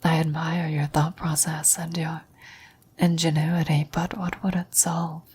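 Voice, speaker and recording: A woman voicing a vampire's dying former lover in an ASMR roleplay, a wistful, knowing woman who whispers in a breathy, solemn, deliberate way. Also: low-pitched, little pitch movement, darkish tone, full character voice. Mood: grave warning.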